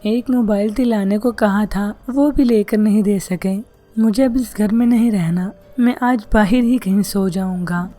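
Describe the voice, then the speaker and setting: A woman's voice: medium pitch, measured pace, mellow, soft background music, natural voice. A woman voicing a young boy in a cartoon story who runs away and then gets scared and stammers. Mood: sulky.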